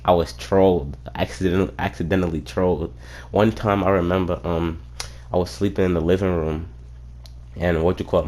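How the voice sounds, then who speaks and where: A young man with a deep, low voice tells a childhood story to his viewers. He rambles, swears freely and keeps reaching for "what you call it" as he goes. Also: a little mellow. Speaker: streamer. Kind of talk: casual storytelling.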